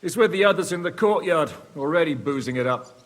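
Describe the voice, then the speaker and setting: Actor as a boisterous, high-pitched medieval commander, ringing reverb. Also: male voice, lively intonation, a little fast, close to an everyday voice. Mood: gloating. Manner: crude, hearty, emphatic. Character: boisterous, foul-mouthed medieval war commander, shrewd and gloating. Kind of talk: dramatic video game cutscene acting.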